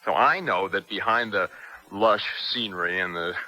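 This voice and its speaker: An actor playing an anxious, determined young American student in a radio drama, speaking with urgency and intensity. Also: male voice, sits low, moderate pace, sharp tone, low-quality recording. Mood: worried, frustrated. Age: young adult.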